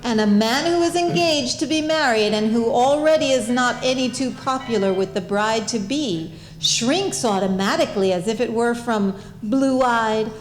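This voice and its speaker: High-voiced woman narrating in an echoing room. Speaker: story narrator. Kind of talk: comic scripted narration, staged reading. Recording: roomy, echoing live recording.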